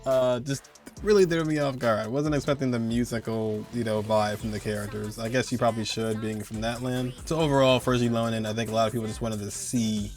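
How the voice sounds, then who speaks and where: A man's voice: mid-range voice, fairly even intonation, brisk, faint music behind. A man giving casual, slangy, conversational commentary as host of a video game countdown. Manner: slangy, conversational, chuckling asides.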